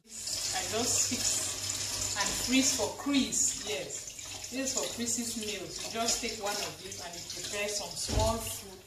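A woman in her thirties with a Cameroonian accent, chatting casually and expressively to camera as a home vlogger. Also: mid-range voice. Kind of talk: casual chat to camera while doing housework. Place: tiled home kitchen, indoors. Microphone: camera or phone at arm's length and further. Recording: echoey tiled room with clear noise.

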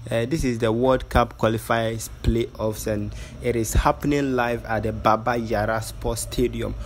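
A man hosting his own channel, giving a casual, excited update from outside a football stadium with lots of fillers and calls to subscribe. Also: medium pitch. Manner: chatty, hypes up viewers, filler-heavy. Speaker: vlogger, channel host.

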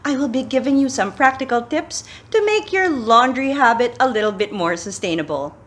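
A woman's voice: on the high side, normal speed. A middle-aged woman with a Filipino accent, chatting casually to camera with sustainable laundry tips, slipping in Tagalog phrases, recorded in a small plain room. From Philippines. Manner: chatty, switches into Tagalog phrases, animated gestures. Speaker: sustainability vlogger.

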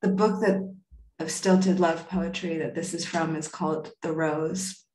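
Echoey, lower-voiced woman poet on video call. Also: fairly even intonation, unhurried, a little mellow. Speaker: poet reading her own work. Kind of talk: poetry reading with informal remarks. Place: indoor room at home, on a video call. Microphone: computer mic on a video call.

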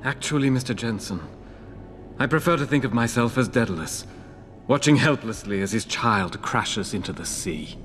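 A man's voice: medium pitch, animated, lightly acted. Guy acting a older genius inventor from a video game, grand bitter speeches, echoey room, bit of music behind. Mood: cold, solemn. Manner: eloquent, theatrical, deliberate oratory. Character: aging crippled visionary inventor, grandiose, bitter, anguished. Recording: roomy game audio with faint music.

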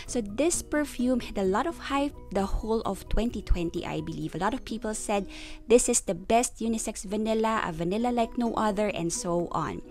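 A woman's voice: medium pitch, expressive, normal speed, a little mellow, soft background music. Woman giving an enthusiastic perfume review. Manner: enthusiastic, descriptive, stretches words for emphasis. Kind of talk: friendly scripted product review.

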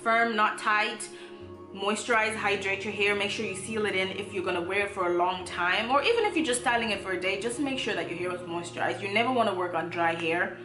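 Young woman, chatty and upbeat, casual tutorial, roomy bedroom sound, soft music behind. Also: mid-range voice, fairly quick. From Zimbabwe. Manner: chatty, upbeat, playful asides. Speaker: natural hair vlogger. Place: bedroom, indoors.

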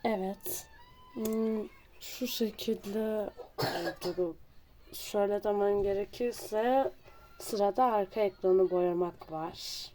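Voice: mid-range voice, steady tone, takes it slowly, dark tone, a little hiss or hum. A person hosting a casual drawing tutorial, talking through each step in short phrases. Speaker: host of a drawing channel.

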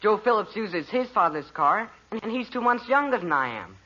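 Aggrieved teenage boy, bright and animated. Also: high voice, moderate pace, exaggerated voice. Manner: stammering, earnest, aggrieved. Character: exasperated, self-pitying fifteen-year-old boy, teenage son. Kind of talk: 1940s radio sitcom acting. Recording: dull, noisy vintage radio recording.

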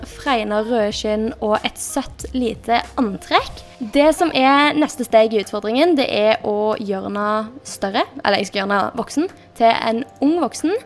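A woman's voice: on the high side, soft background music. A young woman giving casual, chatty commentary as she plays, thinking aloud as she picks options, recorded at a desk in her bedroom. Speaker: gaming YouTuber.

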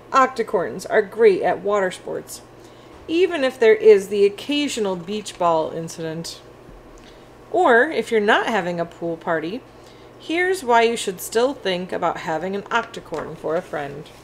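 A woman voicing a friendly, quirky, slightly lonely octopus-unicorn from a picture book, with an animated, childlike delivery. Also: medium pitch, normal speed, slight background noise. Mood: cheerful, boastful.

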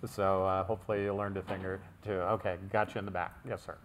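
A man's voice: low voice, flat delivery, fast talker, a little hiss or hum. A man moderating a conference panel in a joking, rambling way.

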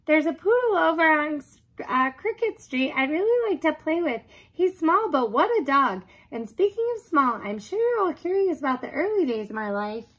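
A woman voicing a chatty, excitable female pet dog who rambles on breathlessly, in an animated storybook read-aloud. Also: high-pitched, normal speed, hardly any pauses, pitched above the performer's own voice, rough recording. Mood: chatty, eager.

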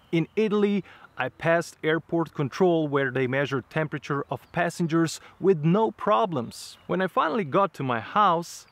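Man, 30s, travel vlogger speaking to camera outdoors; earnest, measured delivery, slightly raised pitch, dry close sound. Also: fairly bright tone, dry recording. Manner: earnest, measured, slightly non-native phrasing. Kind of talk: direct-to-camera explainer. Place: outdoors in front of trees.

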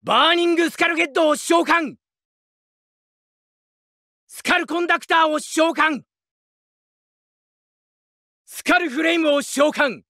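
A male voice actor playing a cocky young punk card duelist in an anime video game, boasting in rough slang with theatrical delivery. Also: very high-pitched, unhurried, long pauses, sharp tone, no room sound, clean studio sound, full character voice. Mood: boastful, fired up.

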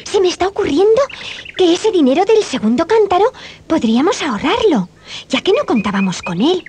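A woman's voice: very high voice, sing-song, a little fast, a little mellow, slight background noise. A woman playing a cheerful, dreamy young milkmaid girl in a children's storybook tape, with a Castilian Spanish accent. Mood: dreamy, hopeful. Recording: 1980s cassette, music behind.

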